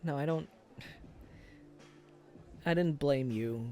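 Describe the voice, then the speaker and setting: A man playing a wistful, self-deprecating former high school sweetheart in an intimate, halting boyfriend ASMR roleplay. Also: warm tone, soft background music. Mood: regretful, tender. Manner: hesitant, halting, chuckles through confessions.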